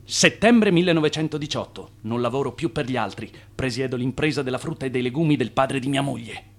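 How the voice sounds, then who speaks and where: An actor playing an ambitious, boastful immigrant schemer in radio theatre, with an emphatic, self-assured delivery. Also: male voice, medium pitch, brisk, gravelly. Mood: resolute.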